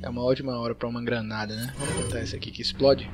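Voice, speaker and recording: A man's voice: mid-range voice, level tone, rapid-fire, music in the background. A man with a Brazilian accent giving casual video game commentary as he plays. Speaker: gaming YouTuber. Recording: noisy, game sound behind voice.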